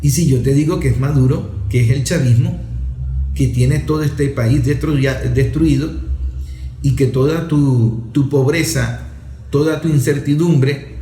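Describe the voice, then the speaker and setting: A man's voice: fairly high pitch, mellow, echoey room, slight background noise. A father in his forties speaking earnestly and emphatically, repeating phrases and listing examples for effect. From Venezuela. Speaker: father and author speaking on a talk show. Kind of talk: earnest conversational commentary.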